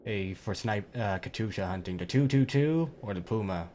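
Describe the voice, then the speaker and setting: A man's voice: fairly low pitch, lively intonation, normal speed, a little mellow, slight background noise. A man with a Canadian accent, casually co-commentating a strategy game match and chuckling often. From Northern Canada. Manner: laid-back, chuckles often.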